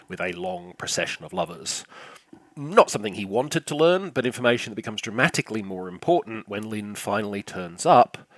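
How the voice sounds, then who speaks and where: An older man with a slightly croaky, lowish voice delivers a scripted film review. His wit is dry and sarcastic, his sentences are peppered with "uh", and the recording is close and completely dry. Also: fairly bright tone, no room sound.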